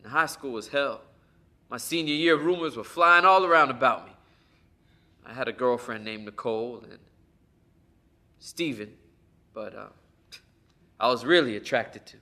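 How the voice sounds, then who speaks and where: A man's voice: medium pitch, moderate pace, pauses often, bright, forceful tone. A young actor playing a conflicted teenage boy who stammers and laughs nervously, in a stage play. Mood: reflective. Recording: echoey stage, faint room noise.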